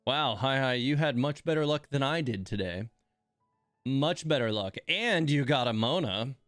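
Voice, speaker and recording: Woman streaming game reactions, really deep voice, dry mic, just chatting and thinking out loud. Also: expressive, moderate pace, dry recording, soft background music. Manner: conversational, musing. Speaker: livestream host. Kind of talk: casual reaction commentary on game voice lines.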